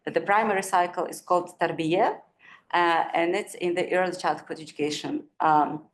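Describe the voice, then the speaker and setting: A woman's voice: fairly low pitch, steady tone, moderate pace. A woman panelist giving an academic talk, hesitant with many fillers and light laughs. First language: not Kazakh; Kazakh is her second language. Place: university event room. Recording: echoey room with faint noise.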